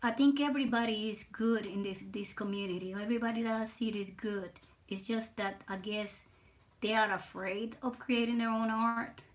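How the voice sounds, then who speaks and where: An older woman from Puerto Rico with a Spanish and Southern US accent, chatting on her livestream while drawing, nervous, halting and repeating words. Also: a little high, steady tone, rough recording. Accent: Puerto Rican Spanish mixed with Southern US. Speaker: livestream artist. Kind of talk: casual live stream chat while drawing.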